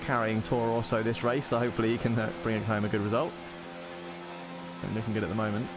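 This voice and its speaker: A young man commentating an online racing league in a relaxed, chatty, self-deprecating way. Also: lowish voice, fast, noisy background.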